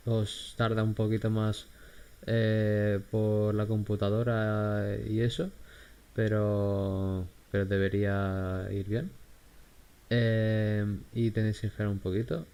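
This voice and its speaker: Low, mellow Spanish man, hesitant delivery. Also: clean tone. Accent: Spain. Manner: hesitant, halting, unscripted. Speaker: tutorial host. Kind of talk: casual screen-recorded software tutorial.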